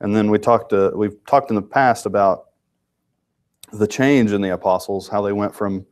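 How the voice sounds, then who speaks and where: A lower-pitched man leads a Bible study, stuffed-up and a little thick in the voice. He talks informally and often restarts a thought mid-sentence. The setting is a church classroom. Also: normal speed, darkish tone. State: congested. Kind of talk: conversational Bible lesson.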